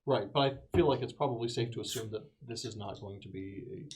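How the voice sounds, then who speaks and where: A man's voice: fairly low pitch, a little fast, background noise. A man talking through plans in character as a player in a tabletop role-playing game.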